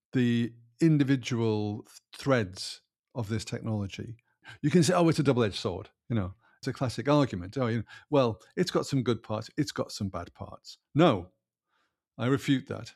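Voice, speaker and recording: A man's voice: mid-range voice, creaky, a little mellow. A man co-hosting a podcast, stating his view emphatically and firmly, with restarts and asides. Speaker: podcast co-host. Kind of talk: casual podcast conversation.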